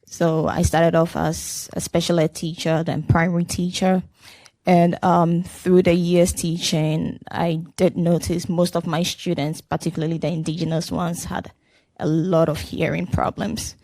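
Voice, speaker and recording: A woman from Ghana, a former teacher, introducing herself to a class in a measured way. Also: on the low side, flat delivery, warm tone, no echo. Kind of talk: self-introduction at a welcome session.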